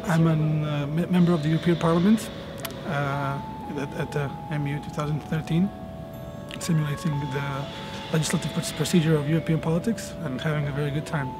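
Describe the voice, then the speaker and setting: A man's voice: a little high, flat delivery, brisk. A young man from Croatia, a law student, answering interview questions and often stumbling over and repeating words. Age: young adult. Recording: music playing underneath.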